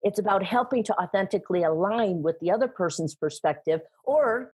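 A middle-aged woman with a slightly husky voice talks about sales with animated emphasis and frequent laughter. Her pitch sits in an ordinary middle range. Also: a little fast. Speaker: guest, sales trainer and speaker. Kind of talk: conversational interview.

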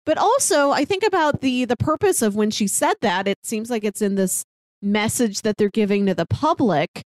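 A woman's voice: a little high, animated, normal speed, no room sound. Chatty woman, close dry sound. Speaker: movie podcast co-host. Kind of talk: casual film discussion.

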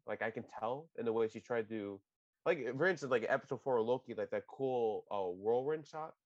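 A man's voice: medium pitch, no echo, dull audio. A man co-hosting a casual podcast, opinionated and rambling, often restarting sentences. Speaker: podcast co-host.

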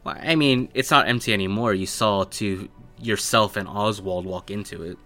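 A man with a bright, fairly deep voice narrates a tabletop roleplaying game. He takes his time, building scenes in halting phrases over soft background music. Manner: halting, piecing descriptions together phrase by phrase. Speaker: game master.

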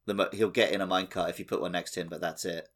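A man with a slightly low-pitched voice co-hosts a gaming podcast. He explains things casually, in everyday conversational speech. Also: steady tone, very fast, fairly bright tone. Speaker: podcast co-host.

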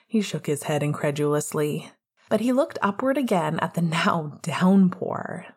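A woman's voice: sits low, lively intonation, unhurried, a little mellow, slightly rough. A woman reading fiction aloud as scripted narration, in the manner of an audiobook reader.

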